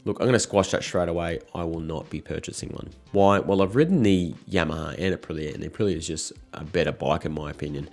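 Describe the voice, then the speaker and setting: A man in his thirties, croaky from a cold, chatting casually and frankly to camera as a motorcycle vlogger. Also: deep voice, quick pace, hardly any pauses, darkish tone, faint music behind.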